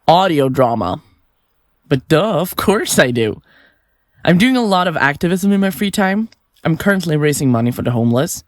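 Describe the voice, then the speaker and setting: Blithe, lively-voiced killer podcaster. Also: female voice, low-pitched, moderate pace, no echo, studio quality. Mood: flippant, chatty. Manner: blithe, chatty, flippant, theatrical. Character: chirpy, self-absorbed female podcaster and remorseless serial killer. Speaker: suspect under interrogation. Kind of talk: audio drama acting.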